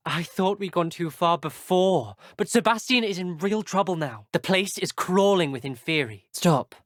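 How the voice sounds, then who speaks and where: A man's voice: high voice, lively intonation, moderate pace, no room sound, clean studio sound. A young man with a British accent voicing an earnest, guarded teenage wizard schoolboy in a video game, speaking in clipped, formal phrases. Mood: worried, earnest. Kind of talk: video game character dialogue.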